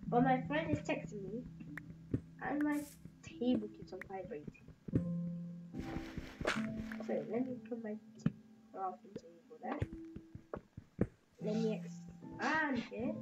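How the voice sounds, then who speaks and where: Chatty home gamer woman narrating her play, slow-paced, dull lo-fi mic, loud music underneath. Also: a little high. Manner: rambling, chatty, talks to viewers as "guys". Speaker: gaming video creator. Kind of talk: casual let's-play commentary. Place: home at a computer desk.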